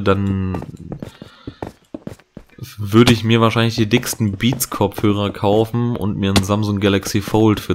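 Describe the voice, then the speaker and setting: A low-voiced man talks casually over his gameplay, drifting from thought to thought with plenty of fillers and self-corrections. A faint hiss and the odd click sit under his voice, as from a home desk mic. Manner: chatty, rambling, many fillers and restarts. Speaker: let's play host. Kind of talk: casual gaming commentary.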